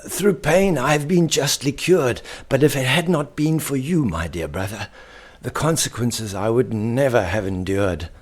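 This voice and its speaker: Man acting an earnest storybook creature, animated. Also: medium pitch, unhurried, voice pushed up. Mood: earnest, grateful. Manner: earnest, dramatic. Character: repentant young male flying creature, hot-headed, grateful. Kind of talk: children's storybook character voice.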